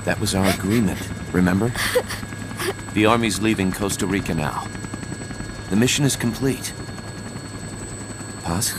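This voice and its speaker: An actor playing a stern veteran soldier in a video game, firm and terse in delivery. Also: male voice, deep voice, unhurried, long pauses. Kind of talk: dramatic video game cutscene acting. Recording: very loud helicopter-like background noise.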